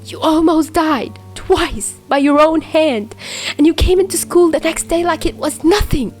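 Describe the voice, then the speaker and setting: A woman voicing a distraught young man who shouts hurt, pleading accusations in a read-aloud story. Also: very high-pitched, big pitch swings, slow, soft background music, pitched above the performer's own voice, full character voice. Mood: anguished anger.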